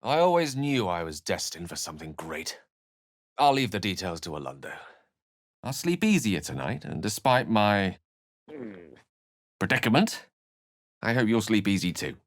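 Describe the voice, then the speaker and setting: A learned, urbane game wizard with a low voice and a close, dry sound. He speaks eloquently and with theatrical care, measuring each phrase like a practiced storyteller. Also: sing-song, moderate pace, pauses often, dry recording. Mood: warm, urbane. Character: erudite, urbane male wizard with a theatrical streak. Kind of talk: video game character dialogue and narration.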